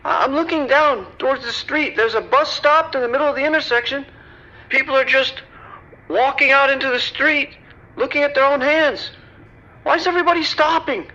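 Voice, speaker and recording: A woman playing a shaken construction worker on an emergency call in an audio drama, stammering and halting in bewilderment. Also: high-pitched, bright, forceful tone, highs cut off. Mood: shaken, bewildered. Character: shaken high-rise construction worker calling emergency services.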